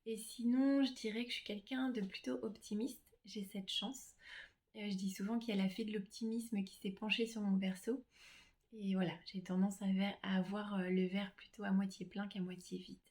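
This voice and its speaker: Gentle, hesitant French-speaking woman. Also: mid-range voice, steady tone. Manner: gentle, hesitant, many pauses and fillers. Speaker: reflexologist. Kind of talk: casual self-presentation to clients.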